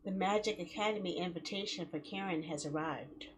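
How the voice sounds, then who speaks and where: A woman's voice: fairly low pitch, brisk, background noise, voice pushed down, close to an everyday voice. A woman voicing a serious, worried young man from a visual novel, grave and concerned in manner.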